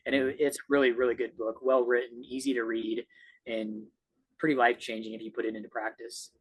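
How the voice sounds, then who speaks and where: A man's voice: mid-range voice, level tone, a little fast, husky, rough recording. A man in an earnest, reflective conversation on a video call, speaking as a guest. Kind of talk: conversational discussion, recommending books.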